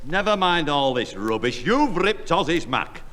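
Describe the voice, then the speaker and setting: Blustering, high-voiced Northern English neighbour. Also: male voice, sing-song, measured pace, pushed and bright, exaggerated voice. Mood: indignant. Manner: blustering, threatening, indignant. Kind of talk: 1960s radio comedy acting. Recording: old radio recording in reverberant hall.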